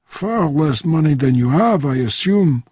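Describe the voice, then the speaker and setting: A man's voice: a little high, expressive, measured pace, darkish tone, slightly rough, no echo, voice pushed up. A man voicing an urbane, wry Frenchman in his seventies, a veteran agent in a spy audiobook. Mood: dry, probing. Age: 70s.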